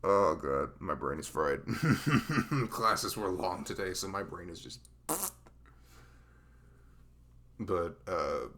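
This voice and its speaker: A man acting a dorky, sentimental young best friend with a crush in an intimate ASMR roleplay, speaking haltingly in a rambling, self-conscious way with a gravelly creak. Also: deep voice, lively intonation, a bit bright, full character voice. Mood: sheepish. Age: young adult.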